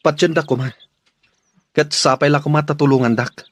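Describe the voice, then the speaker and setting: A man's voice: on the high side, steady tone, a little fast, fairly bright tone, no room sound. A man playing an earnest, determined young man in a radio drama, speaking solemnly. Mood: pleading, solemn.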